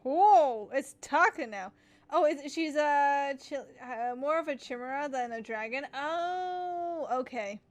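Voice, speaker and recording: A woman giving casual live game commentary, chatty and thinking out loud as she reacts. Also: sits high, animated, takes it slowly. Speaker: game streamer.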